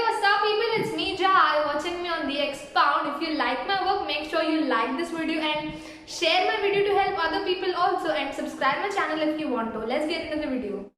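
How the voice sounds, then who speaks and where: A woman's voice: high voice, sharp tone, slight background noise. A young woman with an Indian English accent, a Tamil speaker, chatting to camera as a vlog host giving an English-speaking lesson with lots of fillers and restarts. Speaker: YouTube host. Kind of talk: casual talk-to-camera lesson. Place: room at home. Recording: very echoey room.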